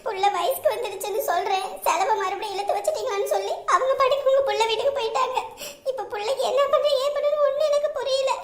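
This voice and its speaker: Woman acting very squeaky, anxious young mother. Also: fast talker. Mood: tearful, helpless. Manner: plaintive, respectful. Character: helpless, anxious young village mother and daughter-in-law. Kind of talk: amateur Tamil village family drama. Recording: roomy, echoing sound.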